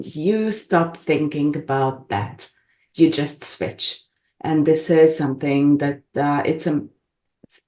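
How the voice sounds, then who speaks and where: A middle-aged woman from Bosnia and Herzegovina, a gentle, reflective guest speaking English as an over a video call. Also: low voice. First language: Bosnian and Croatian. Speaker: regression facilitator, guest. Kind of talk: casual interview chat. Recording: echoey room.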